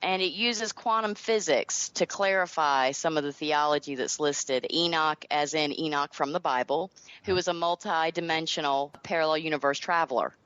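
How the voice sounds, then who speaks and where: Southern US woman with a lowish voice. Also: normal speed, a bit bright, clear, resonant tone, no room sound, muffled recording. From Southern United States. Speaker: podcast guest, author. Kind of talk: friendly interview talk.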